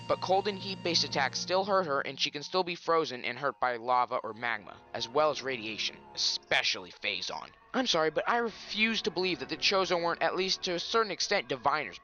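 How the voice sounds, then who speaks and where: Sarcastic, animated gaming commentator. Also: male voice, fairly high pitch. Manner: sarcastic, profane, ranting. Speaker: gaming video creator. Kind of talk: scripted game commentary.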